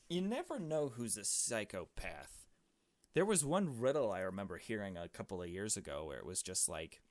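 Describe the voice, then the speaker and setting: A man with a fairly low voice reads a visual novel aloud as a let's player. He shifts between narration and casual commentary, and the recording is close and dry. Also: no room sound.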